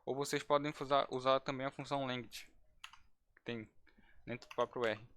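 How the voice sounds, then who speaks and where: Brief: male instructor, relaxed and chatty, restarts phrases while demonstrating code, typing heard behind. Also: mid-range voice, normal speed. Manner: casual, thinking aloud with restarts. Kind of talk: live-streamed coding lesson.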